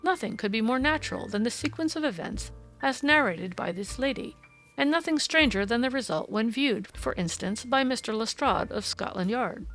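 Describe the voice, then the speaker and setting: A woman voicing a brisk, courteous Victorian male detective in an audiobook, precise, formal and explanatory in manner. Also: very high-pitched, animated, fairly bright tone, soft background music, exaggerated voice, poor audio. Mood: explanatory, assured.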